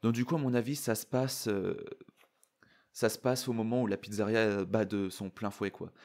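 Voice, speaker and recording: A man giving casual, excitable, slangy reaction commentary. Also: on the low side, moderate pace. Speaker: YouTuber reacting to and analysing a trailer.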